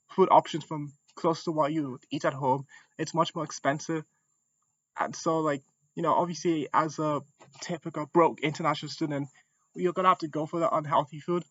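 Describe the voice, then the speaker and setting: A man's voice: on the high side, steady tone, normal speed, fairly bright tone, no room sound. A young man from Congo, a self-described broke international student, talking in a casual, frank way. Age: young adult. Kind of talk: interview testimony. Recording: dull, dry lo-fi audio.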